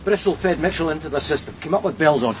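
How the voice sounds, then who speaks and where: A man's voice: a little high, lively intonation, fast, very noisy, close to an everyday voice. A man with a Scottish accent playing a dry, dogged police detective in a naturalistic radio crime drama. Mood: matter-of-fact. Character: male police detective, dry, dogged, deferential to his boss.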